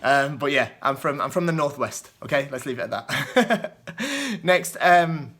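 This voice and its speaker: A young man with a soft northern English accent, his voice bright and forward. He rambles through viewer questions off the cuff, filling pauses with ums and uhs. He laughs lightly at himself now and then. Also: on the high side, expressive. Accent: mild northern English, Lancashire and Yorkshire mix. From northwest England. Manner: hesitant, lots of ums and uhs, chuckles often. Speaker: kendo channel host answering viewer questions. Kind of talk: casual off-the-cuff Q&A.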